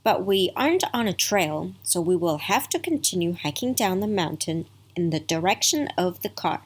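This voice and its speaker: A woman voicing a kind, patient old grandfather in a bedtime story, soft-spoken and gently teaching. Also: lowish voice, expressive, normal speed. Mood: reassuring.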